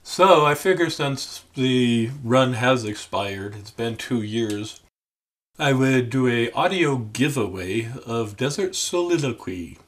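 An older man, around his sixties, talking casually to the camera in a deliberate, halting, dry manner. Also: mid-range voice, animated, fairly bright tone. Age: older, about 60s. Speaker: memoir author addressing viewers. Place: small room at home, indoors.

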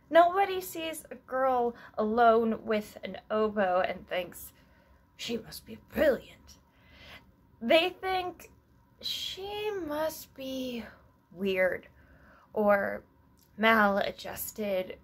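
A woman performing a stage monologue as an earnest, anxious young female musician, wistful and self-doubting, halting and reflective with long pauses. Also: a little high, slightly rough, heavily acted. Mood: wry, hurt.

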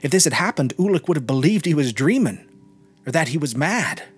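A man's voice: a little high, sing-song, brisk, husky, faint music behind. A man reading a literary short story aloud as a scripted narration. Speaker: podcast reader.

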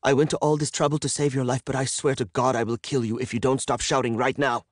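Audiobook role: unflappable tough young woman, read by a man, lifted pitch, calm, matter-of-fact, faintly menacing, dry studio sound. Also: normal speed, dry recording. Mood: threatening.

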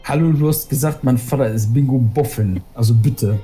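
A man's voice: medium pitch, normal speed, warm tone, soft background music. Grumbling man with a cold. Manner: grumbling, exasperated. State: has a cold. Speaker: co-op gaming partner. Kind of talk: casual gaming chat.

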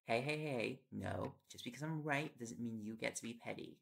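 A young man playing an affectionate, teasing reporter boyfriend in an intimate audio roleplay, with a gravelly voice and playful, coaxing talk. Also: medium pitch, moderate pace, natural voice. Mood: playful indignation. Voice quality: gravelly, creaky. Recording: loud background noise with clicks. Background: ticking and clicking sounds.

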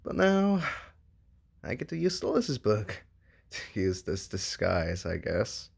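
A man voicing a shy, smitten young demon in human disguise, gentle and hesitant, in an amateur comic dub. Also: fairly low pitch, very animated, slow, creaky, slightly rough, heavily acted. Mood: shy, affectionate.

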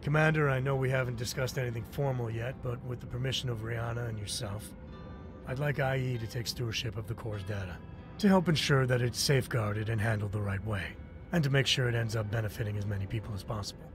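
An actor playing a gracious older mercenary patron in a video game cutscene, speaking in a measured, formal, articulate way. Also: male voice, mid-range voice, music in the background, lightly acted. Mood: earnest, measured.

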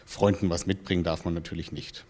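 A man in his thirties giving measured, matter-of-fact expert answers in an interview, into a handheld microphone. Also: low-pitched, little pitch movement, darkish tone. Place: small indoor information room with bookshelves. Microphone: handheld microphone held out to him.

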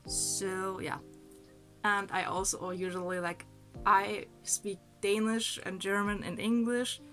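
A young woman talks casually into a nearby camera in a small bedroom, hesitant and full of fillers. She sounds slightly sick, her voice sits at a middling pitch, and faint music plays underneath. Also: little pitch movement, slow, sharp tone. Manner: chatty, hesitant, many fillers. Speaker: vlogger. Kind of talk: casual talk to camera and food voice-over.

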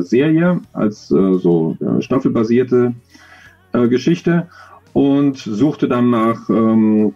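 A man's voice: mid-range voice, highs cut off. A middle-aged man giving thoughtful interview answers, hesitant and often searching for words as he explains. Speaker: interview guest, audio drama author. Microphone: video call, close to webcam.

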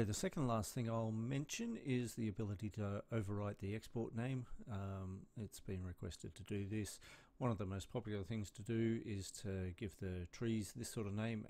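A man with a fairly low voice talks through a software demo over a screen recording. He is relaxed and unhurried, often pausing on fillers and restarting his sentences. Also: creaky. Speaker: software developer.

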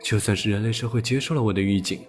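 A man's voice: lowish voice, fast, soft background music. A man narrating a scripted audiobook as a storyteller.

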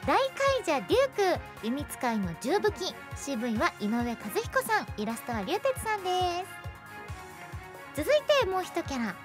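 A woman's voice: sits high, sing-song, moderate pace. A woman hosting a radio show, reading scripted announcements in a presenter's style. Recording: music behind the voice.

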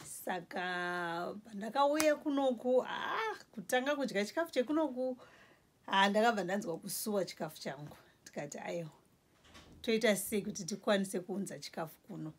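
A woman's voice: mid-range voice, expressive, a little hiss or hum. A woman in her forties with a Zimbabwean accent, a store owner talking casually about her grocery shop. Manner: lists goods slowly while showing shelves. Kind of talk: casual interview in Shona. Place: small indoor grocery store.

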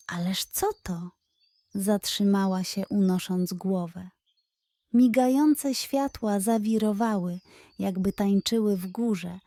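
A woman's voice: medium pitch, mellow, no echo. A woman narrating a scripted bedtime story for children.

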